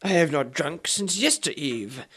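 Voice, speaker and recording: An actor playing a haughty, bewildered Renaissance knight in a comic radio play, declaiming in archaic, self-important speech. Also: male voice, on the high side, lively intonation, a little slow, fairly bright tone, breathy edge, heavily acted. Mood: puzzled, earnest. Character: pompous Renaissance knight, bewildered time traveller, haughty and literal-minded.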